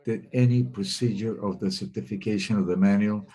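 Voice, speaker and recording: A man giving a simultaneous interpretation of webinar talks, speaking in a steady, measured way with occasional hesitations. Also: fairly low pitch, husky, rough recording. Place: remote webinar.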